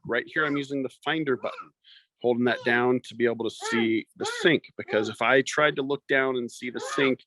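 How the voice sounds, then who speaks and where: A man's voice: medium pitch, a bit bright, a little hiss or hum. A man narrating his own demonstration video, explaining each step plainly. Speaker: guest demonstrator.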